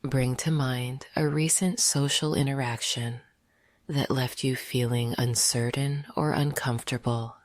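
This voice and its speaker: A woman leading a guided meditation in a soft, half-whispered, gentle and soothing voice. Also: extremely low pitch, steady tone, unhurried, no echo, studio quality.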